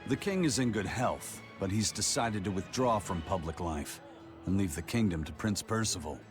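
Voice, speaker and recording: A man voicing a composed, scholarly adventurer in a video game, measured and formal. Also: sits low, animated. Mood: matter-of-fact. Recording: music behind the voice.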